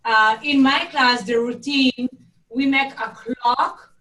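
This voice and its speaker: A preschool teacher with a Hebrew accent explaining her classroom routine on a video call, halting as she searches for words. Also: sits high, fairly even intonation, fairly bright tone. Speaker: preschool teacher taking part. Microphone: video call, distant from the mic. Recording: echoey room, far from mic.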